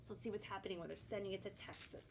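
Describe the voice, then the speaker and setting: A woman librarian reads a picture book aloud to children expressively, pausing to explain things and prompt her young listeners. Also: medium pitch, fairly even intonation, creaky, slight background noise.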